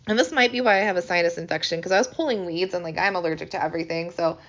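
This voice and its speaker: A woman in her thirties from the American Midwest hosting a podcast in casual chat, chatty and animated, her voice hoarse from a sinus infection. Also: on the low side, rapid-fire. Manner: chatty, animated, laughs easily. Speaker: podcast host. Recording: slightly dull lo-fi recording.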